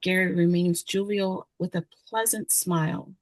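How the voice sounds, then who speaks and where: A woman's voice: fairly low pitch, fairly even intonation, a little slow, no room sound. A woman narrator reading scripted screenplay directions at a table read.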